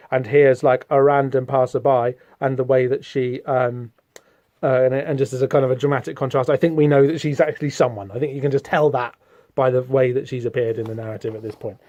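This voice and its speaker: A man's voice: mid-range voice, darkish tone, no room sound. A man hosting a podcast, musing casually over a comic with frequent ums and restarts. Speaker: podcast host. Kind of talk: casual read-along comic commentary. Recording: dull, slightly muffled podcast audio.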